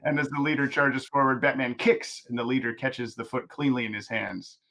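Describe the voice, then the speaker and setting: Male narrator for a table read, mid-pitched, delivering scripted action lines and stage directions. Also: steady tone, brisk, a bit bright.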